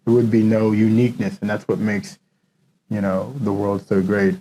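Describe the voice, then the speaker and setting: A man's voice: lowish voice, level tone, normal speed, warm tone, a little hiss or hum, rough recording. A man talking as the guest in a casual interview, reflective and loose, with many fillers.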